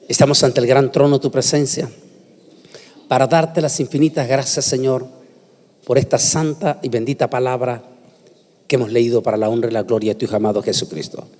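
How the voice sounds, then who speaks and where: Man, preacher, medium pitch, solemn, emphatic, deliberate phrasing, sermon-lecture delivery, long echoey hall reverb. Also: a little mellow. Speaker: preacher giving a conference talk. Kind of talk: sermon lecture with scripture reading and prayer. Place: large hall, speaking to a congregation.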